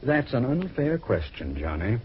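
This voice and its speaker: A man's voice: mid-range voice, sing-song, measured pace. A male actor playing a genial waterfront priest in old-time radio drama, droll and wheedling, easily sidetracked. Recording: dull, noisy vintage radio recording.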